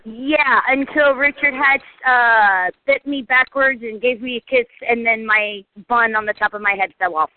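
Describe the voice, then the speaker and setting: A woman's voice: a little high, normal speed, few pauses, no echo. A woman from Cincinnati, Ohio, chatting playfully as a guest on a fan podcast. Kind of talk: casual chat over a call. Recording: tinny phone-like line.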